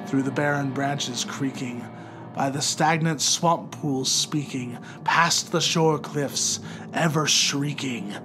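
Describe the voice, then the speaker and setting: A man narrating poetry in a theatrical, solemn and measured dramatic recitation. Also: mid-range voice. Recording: loud music under the voice. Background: music.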